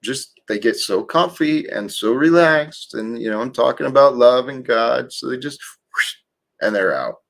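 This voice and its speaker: Slow, calm-voiced man preaching gently. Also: medium pitch, gravelly. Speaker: livestream host. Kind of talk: spiritual livestream talk.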